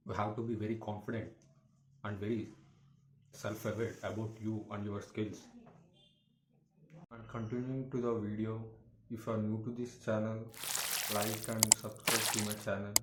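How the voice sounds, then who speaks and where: Low, flat-voiced man in echoey room. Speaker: YouTuber, motivational content creator. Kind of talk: motivational talk to camera. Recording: echoey room with faint background noise.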